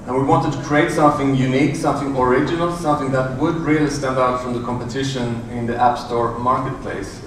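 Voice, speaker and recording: A man's voice: medium pitch, steady tone. Distant man presenting in an echoey hall. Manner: halting, many fillers and restarts. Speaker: conference presenter. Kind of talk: conference talk. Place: large hotel conference room. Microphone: room audio from a far camera. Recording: echoey, distant room recording with noise.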